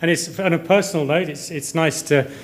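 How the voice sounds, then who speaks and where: A man's voice: on the high side, a little fast. A man in his fifties from Kuwait giving a conference presentation to developers in a hesitant, rambling way, often repeating words. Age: 50s. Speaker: conference speaker, software company director. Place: hotel conference room, indoors.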